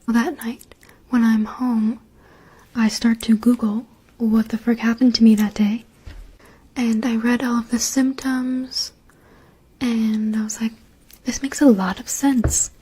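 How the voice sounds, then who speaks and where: A woman telling a personal story in a soft whisper, chatty and casual with many "like"s and "um"s. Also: on the high side, flat delivery, slow pace, long pauses, breathy edge, rough recording. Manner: whispering softly throughout; chatty, lots of "like" and "um".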